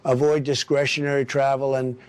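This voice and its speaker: A man's voice: mid-range voice, little pitch movement, fairly quick, clear, resonant tone, close to an everyday voice. A man voicing a boastful, paranoid male cat demagogue in a satirical audio story, delivering pompous, repetitive political speeches. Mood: solemn, self-important.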